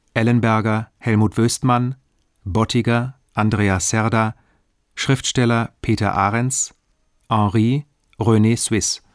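A man reads formal radio credits as an announcer. His mid-pitched voice is neutral and slow, recorded very dry. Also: no echo. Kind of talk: formal scripted closing credits.

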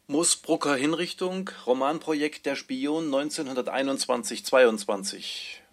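Male announcer, middle pitch, reading out section titles all formal. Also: unhurried. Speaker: announcer of chapter titles and drafts.

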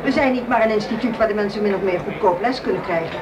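Actress as fast-talking, earnest music-school champion. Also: female voice, mid-range voice, lightly acted. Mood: earnest, proud. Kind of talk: naturalistic Dutch radio drama acting. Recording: distant, loud concert crowd and noise.

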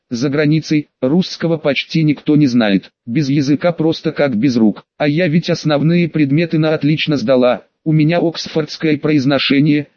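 A boastful, spoiled young woman who chatters on and complains, read by a man in a fairly high voice. It is a slightly dull, dry audiobook recording with no room sound. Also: no room sound, close to an everyday voice. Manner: pompous, talkative, complaining.

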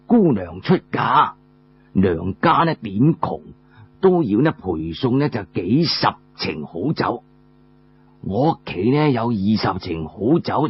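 A man voicing a mild elderly father in a storytelling audiobook, explaining things in a slow, drawn-out way. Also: lowish voice, muffled recording, slight background noise, voice pushed down.

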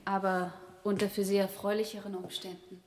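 An actress playing a refined young gentlewoman in a German radio drama. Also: medium pitch, level tone, fairly quick, a little hiss or hum, lightly acted. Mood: courteous. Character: polite young gentlewoman, frightened by a vampire.

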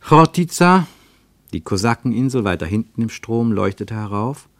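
Male radio play narrator, lowish voice, lively intonation, dry studio sound. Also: moderate pace, no echo. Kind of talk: scripted literary narration.

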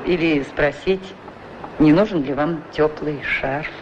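A lonely, attentive woman in an old radio drama. She speaks wistfully, hinting gently at her affection, through clearly audible background noise on a vintage recording. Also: low-pitched, slow, dull audio. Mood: wistful, caring. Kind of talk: 1960s radio play acting.

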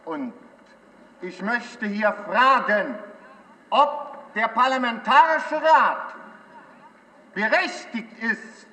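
An actor playing an indignant communist delegate of 1948, declaiming an accusatory parliamentary speech. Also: male voice, sits high, lively intonation, very slow, pauses often, pushed and bright, full character voice. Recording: dull sound, long hall reverb.

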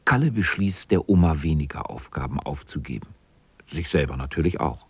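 A man with a husky voice narrating a scripted children's story as the narrator of a radio drama. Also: a bass voice, lively intonation, brisk, a little mellow, dry recording.

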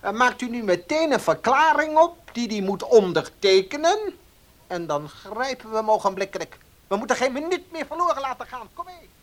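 A man's voice: extremely high pitch, big pitch swings, normal speed. An actor playing a pushy, indignant village baker in a radio play, insistent and bossy in his delivery. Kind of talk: Dutch radio play acting.

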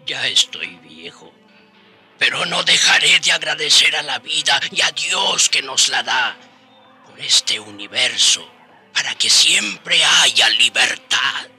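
An actor playing a wise old Indian rebel in a radio drama, reflective and devout, speaking solemnly and with passion. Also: male voice, mid-range voice, lively intonation, bright, forceful tone, slightly rough, faint music behind, exaggerated voice. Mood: reflective, weary. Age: older. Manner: solemn, impassioned, slow and deliberate. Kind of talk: radio drama acting, part narration.

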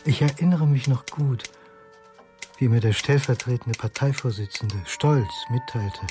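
A man's voice: mid-range voice, measured pace, over music, close to an everyday voice. A man playing a haunted former socialist looking back in a reflective radio drama monologue. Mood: reminiscing. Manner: reflective, confessional. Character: haunted male Icelandic former socialist student, confessing.

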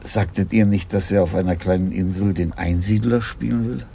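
An actor playing a dry, reflective senior police commissioner in a radio crime drama, in a leisurely, ruminative way. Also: male voice, low-pitched, steady tone, moderate pace, vocal fry, dark tone, a little hiss or hum. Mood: musing. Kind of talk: 1980s German radio crime drama acting.

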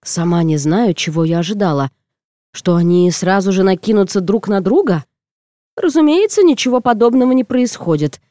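A woman reading first-person comic fiction aloud as an audiobook narrator, in an expressive, theatrical way. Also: mid-range voice, moderate pace, dry recording.

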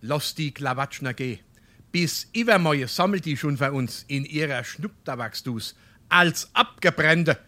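Sharp-voiced grumbling Hessian husband. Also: male voice, on the high side, unhurried. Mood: exasperated. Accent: Hessian, Darmstadt. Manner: exasperated, sarcastic. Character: grumbling, scolding small-town husband, gruff Biedermeier burgher. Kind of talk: dialect stage comedy.

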